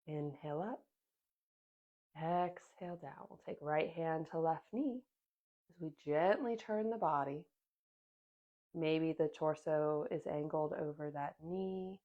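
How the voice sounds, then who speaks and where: A woman yoga instructor calmly cueing a seated class, a little sniffly from a sinus infection. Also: low-pitched, narrow, tinny audio. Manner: gentle, calm cueing with soft pauses.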